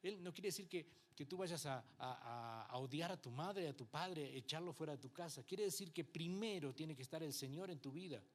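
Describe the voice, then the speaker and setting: Uruguayan pastor preaching conversationally. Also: male voice, a little high, normal speed, few pauses. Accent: Uruguayan Spanish with some Mexican influence. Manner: conversational preaching with frequent rhetorical checks and acted-out quotes. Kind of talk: Bible class sermon to a congregation. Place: church.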